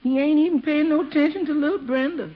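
A woman's voice: high voice, fast, lightly acted. An actress playing a sympathetic, plain-spoken household woman who speaks in a folksy, lamenting way in a radio comedy. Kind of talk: radio sitcom acting. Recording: muffled, faintly noisy old radio recording.

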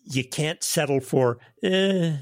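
A man's voice: a little high, slow pace, no room sound. A man speaking conversationally as an interview guest who produces audio drama.

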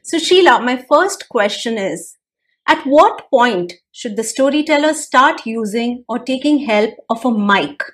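A woman's voice: fairly high pitch, expressive, a little slow, fairly bright tone. A woman podcast host introducing her guest with upbeat enthusiasm. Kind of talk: scripted show introduction.

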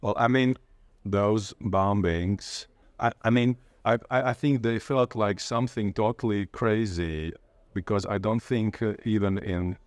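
A man's voice: on the low side, steady tone, measured pace, no echo. A man with a Latvian accent giving informal interview answers in a hesitant way, often groping for words. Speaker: interviewee, playwright and literature lecturer.

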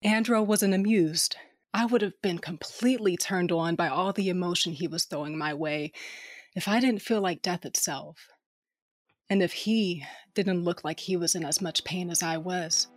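A woman narrating an audio drama in first person as a tough, wry smuggler, soft when tender. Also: fairly low pitch, normal speed, no room sound, clean studio sound.

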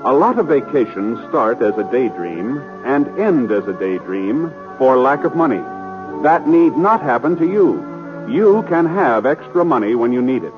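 An American male announcer in formal 1950s radio style. His delivery is declamatory with lively pitch swings, on a muffled broadcast with music behind it. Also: moderate pace.

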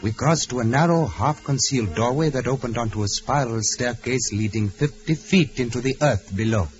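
An actor playing a devoted, formal manservant who also narrates an old-time radio adventure, earnest and deferential. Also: male voice, mid-range voice, moderate pace, natural voice. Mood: solemn. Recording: dull old radio recording with music.

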